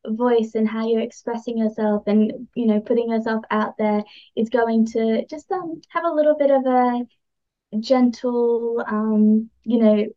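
A woman's voice: fairly high pitch, steady tone, a little slow, dull audio. A woman chatting casually as she explains astrology as a podcast guest, informal, enthusiastic and encouraging.

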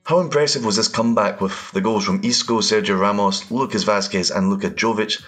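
A Scottish man with a lowish voice hosts a football podcast. He reads his scripted links in a measured, clear way and puts questions to his guests, with faint music underneath. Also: fairly bright tone. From Scotland. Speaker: podcast host.

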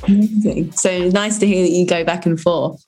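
A woman with a husky voice asking friendly, casual questions as an interviewer. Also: lowish voice, fairly even intonation, normal speed, warm tone, faint music behind.

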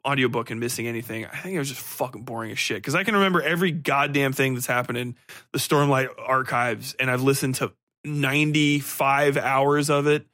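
A man's voice: mid-range voice, a bit bright, no echo, studio quality. A man hosting a book podcast, rambling through a casual review in blunt, irreverent, profanity-heavy slang. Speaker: podcast host reviewing books.

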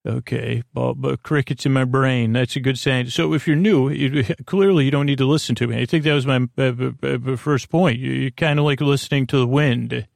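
A man hosting a bedtime podcast in a creaky, lulling voice, meandering hesitantly through tangents. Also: medium pitch, fairly even intonation, moderate pace, few pauses, no echo, clean studio sound. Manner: soothing, lulling delivery. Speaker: podcast host. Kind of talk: rambling bedtime sleep podcast monologue. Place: closet at home.

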